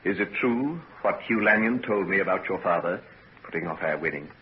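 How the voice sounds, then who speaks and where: An actor playing a troubled, devoted young doctor in love, with a romantic, earnest delivery in a 1940s radio drama. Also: male voice, deep voice, animated, a little fast. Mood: tender. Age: young adult. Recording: dull, noisy 1940s radio recording.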